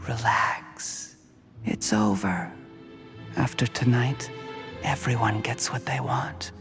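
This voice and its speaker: An actor playing a cold, calculating official turned poisoner in a video game, gloating in measured, mockingly polite monologue. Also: male voice, medium pitch, gravelly, fairly bright tone, soft background music. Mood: hushed, menacing. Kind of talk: scripted video game voice acting.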